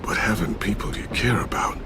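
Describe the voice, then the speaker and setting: An actor playing a gentle fatherly mentor in a game, with an American accent, tender, reassuring and gravelly. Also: on the low side, animated, brisk, in a whisper, natural voice. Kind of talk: game voice acting, intimate dramatic dialogue. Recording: game audio with clear ambient noise.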